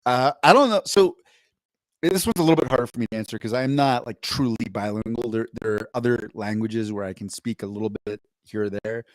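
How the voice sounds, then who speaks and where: A man's voice: mid-range voice, a little fast, no echo, low-quality recording. A man co-hosting a podcast, chatting casually and reading posts aloud, rambling and correcting himself as he goes. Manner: rambling and self-correcting, upbeat. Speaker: podcast co-host.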